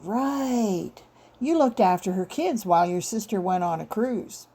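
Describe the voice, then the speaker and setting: A woman's voice: mid-range voice, a little slow, creaky, warm tone, slightly rough. Woman doing a blunt, rumpled male detective. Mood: casual, puzzled. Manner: casual, blunt, slangy. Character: lanky, rumpled, grumbling male police detective.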